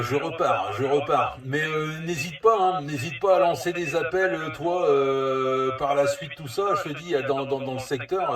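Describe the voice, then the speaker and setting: A man's voice: mid-range voice, distant mic. Hesitant man in an echoey space, off mic. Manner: rambling, hesitant. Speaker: CB radio operator. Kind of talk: casual two-way radio chat.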